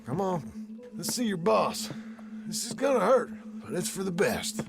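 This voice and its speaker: An actor playing a rough, menacing American outlaw in a video game, with a gravelly, folksy drawl and a mock-polite, sardonic manner. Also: male voice, high voice, big pitch swings, normal speed, full character voice. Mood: sardonic, calm. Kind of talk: video game cutscene acting.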